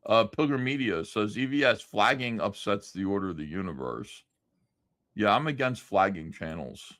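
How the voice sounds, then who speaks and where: Male livestream host reading chat, swearing, rambling, pitch swinging all over, dry close sound. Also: expressive, normal speed, a bit bright, no echo. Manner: loose, profane, rambling with many fillers. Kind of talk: casual livestream chat, reading viewer comments.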